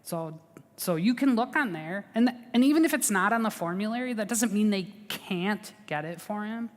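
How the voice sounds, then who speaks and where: A woman's voice: mid-range voice, expressive, normal speed, in a large hall. A woman advising people on veterans benefits in a chatty, reassuring way, with lots of fillers and restarts. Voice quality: big voice. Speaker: veterans service officer. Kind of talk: informal advice talk.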